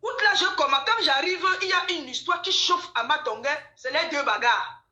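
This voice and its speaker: A woman telling gossip in a casual chat, animated and repeating phrases for emphasis. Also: sits high, moderate pace. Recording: echoey room.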